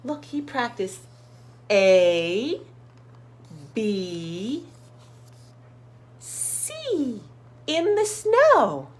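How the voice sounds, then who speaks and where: A woman hosting children's storytime, reading expressively to young listeners and addressing them directly. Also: fairly high pitch. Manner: slow, expressive, sounds out words and asks listeners questions. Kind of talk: picture book read-aloud for young children.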